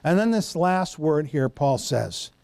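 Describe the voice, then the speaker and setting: A man's voice: on the high side, very animated, slow pace, vocal fry, darkish tone, dry recording. A middle-aged man preaching a sermon in a conversational, folksy way, stumbling over Greek words and telling homely stories. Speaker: pastor.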